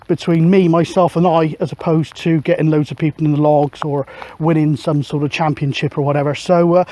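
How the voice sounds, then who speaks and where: A man's voice: on the high side, darkish tone. A middle-aged man, chatting casually and matily as he vlogs outdoors. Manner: chatty, matey. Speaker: vlogger and CB radio hobbyist. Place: outdoors on a windy hill. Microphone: camera microphone.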